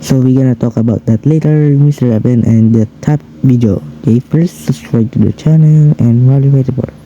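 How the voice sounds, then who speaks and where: A man with a hoarse, raw voice giving casual, rambling commentary over gameplay for his channel. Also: mid-range voice, a little fast, mellow, highs cut off, a little hiss or hum. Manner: chatty, rambling, addresses viewers as guys. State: hoarse, low voice from a raw throat. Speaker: gaming YouTuber.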